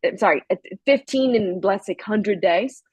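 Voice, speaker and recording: A woman of about thirty from Guatemala, talking in an upbeat, energetic way on a video call while on a treadmill. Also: mid-range voice, moderate pace, breathy edge, dry recording, dull audio. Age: around 30. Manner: upbeat, energetic, pep-talk delivery. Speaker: sales call participant. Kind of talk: casual motivational group call.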